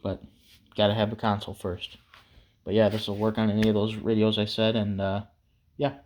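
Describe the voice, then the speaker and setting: A man's voice: on the low side, level tone, fairly quick, a little mellow, slight background noise. A man giving a casual, unscripted hands-on DIY tutorial in a laid-back, folksy way. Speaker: DIY how-to presenter.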